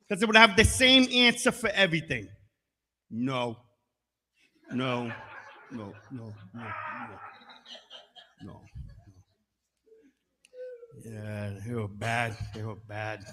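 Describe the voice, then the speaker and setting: Middle-aged male stand-up, high bright voice, punchy and deadpan, live room with a long reverb. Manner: punchy, deadpan asides, bursts into loud shouted singing. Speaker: stand-up comedian. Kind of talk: stand-up comedy set. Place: comedy stage.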